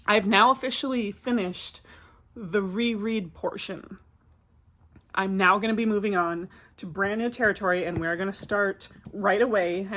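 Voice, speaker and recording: A woman vlogger who is getting over a cold talks through her thoughts on books in a loose, rambling way, dropping filler words as she goes. Her voice sits at an ordinary middle pitch. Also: fairly bright tone. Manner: chatty, rambling, many filler "like"s. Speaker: book vlogger. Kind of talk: casual book review vlog.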